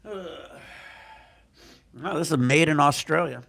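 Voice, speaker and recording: A man's voice: fairly high pitch, measured pace, creaky, fairly bright tone. A man with a stuffy, congested nose, livestreaming outdoors on a cruise ship at night through a handheld mic, chatting in a laid-back, profane, joking way. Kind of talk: casual live stream chat. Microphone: handheld microphone.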